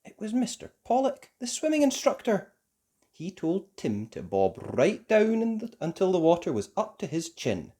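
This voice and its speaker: A children's story reader giving expressive scripted narration in a deliberate, sing-song way aimed at young children. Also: medium pitch.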